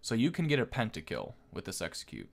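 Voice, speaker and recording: A man's voice: fairly low pitch, huge pitch swings, fast, creaky. A man hosting a gaming video with casual, off-the-cuff gameplay commentary.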